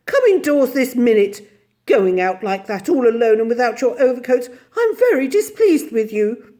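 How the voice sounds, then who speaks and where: A woman's voice: on the high side, very animated, moderate pace, mellow, pitched above the performer's own voice. A woman voicing a haughty, fussy, melodramatic lady in a storybook reading, with indignant, theatrical delivery. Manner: indignant, imperious, wailing theatrics.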